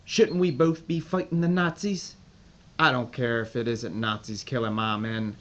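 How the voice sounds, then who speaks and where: An actor playing a hard, determined American army major giving clipped orders in a World War II audio drama. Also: male voice, mid-range voice, fairly even intonation, moderate pace, dull audio, close to an everyday voice. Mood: defiant, determined. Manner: commanding, clipped military radio orders.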